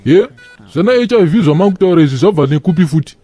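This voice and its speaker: A man's voice: a little high, animated, fast, dark tone, breathy edge, faint music behind. A Zimbabwean man playing a preachy, indignant church elder and fellow patient in a comedy cartoon, with a sanctimonious manner. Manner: sanctimonious, sermonizing. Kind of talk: animated comedy dialogue.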